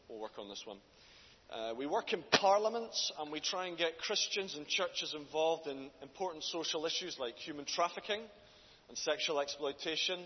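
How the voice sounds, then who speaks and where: A man, a guest preacher speaking to a church congregation and reading scripture aloud, in a loud, earnest voice. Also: fairly high pitch, slight background noise. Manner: loud, earnest, builds in force when reading. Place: church hall. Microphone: handheld mic. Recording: dull distant hall recording.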